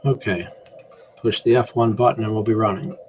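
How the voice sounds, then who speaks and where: Casual male demonstrator, lowish voice, talking through steps off the cuff; muffled lo-fi recording. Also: fairly even intonation, normal speed. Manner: unscripted, thinking aloud while working. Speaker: amateur radio contest demonstrator. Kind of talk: casual screen tutorial narration. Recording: dull lo-fi mic with faint noise.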